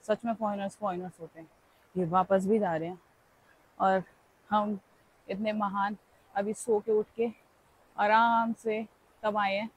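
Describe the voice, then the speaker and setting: A woman's voice: mid-range voice, little pitch movement, a little slow, long pauses, poor audio. A young woman vlogging casually as she hikes outdoors on a forest trail, talking into a handheld phone camera in a chatty, playful way and exclaiming as she goes. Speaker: travel vlogger. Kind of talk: casual vlog walking commentary.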